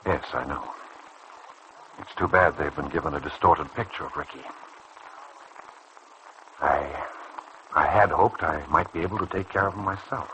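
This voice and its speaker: A man playing a kindly, principled senior doctor in a mid-century radio soap opera, speaking in a measured, earnest way. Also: extremely low pitch, bright, forceful tone. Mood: earnest, concerned. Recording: faintly noisy old radio recording.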